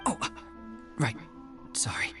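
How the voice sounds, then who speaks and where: A man's voice: a little slow, over music, lightly acted. A man voicing a wary, gossiping townsperson in an anime game. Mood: wary, hushed.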